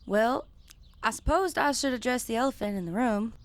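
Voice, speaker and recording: A woman's voice: fairly high pitch, expressive, moderate pace, no room sound, close to an everyday voice. An actress playing a blunt, practical rural woman, in a plain-spoken radio drama style. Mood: frank. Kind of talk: naturalistic radio drama acting.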